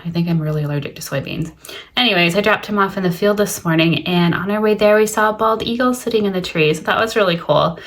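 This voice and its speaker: A woman's voice: on the low side, a bit bright. A young woman with a slightly stuffy nose, chatting casually about her small craft business in a home studio vlog. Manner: chatty, friendly, lots of "so" and "like". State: a little stuffy from allergies. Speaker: small business owner vlogger.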